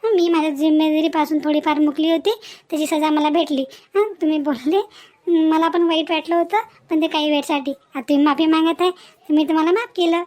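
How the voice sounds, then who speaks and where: A woman voicing a soft-hearted, conscientious young wife in a cartoon domestic story, speaking gently. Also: sits high, fairly even intonation, brisk, close to an everyday voice. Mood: conciliatory.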